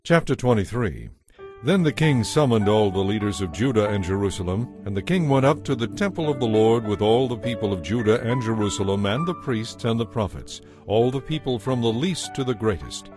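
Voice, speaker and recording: A man narrating scripture from a script in a measured, formal storytelling manner. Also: lowish voice, expressive, darkish tone, faint music behind.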